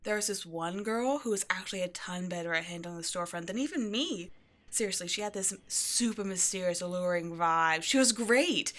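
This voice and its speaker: A woman's voice: on the low side, expressive, fairly quick, fairly bright tone. A woman playing an upbeat, quirky shop owner in an ASMR roleplay, talking casually and breezily, offhand about eerie things. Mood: chatty, upbeat. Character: upbeat, quirky young woman running a mysterious occult shop. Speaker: shop owner character speaking to a new employee. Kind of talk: ASMR roleplay, casual one-sided conversation.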